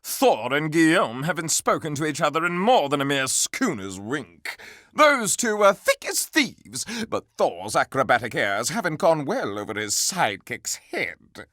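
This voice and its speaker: A man's voice: a little high, big pitch swings, unhurried, a bit bright, no echo, studio quality, full character voice. A man voicing a pompous, gossip-mad living candelabrum in a video game, with grandiloquent, melodramatic courtly speech. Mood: gossipy. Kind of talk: theatrical video game character acting.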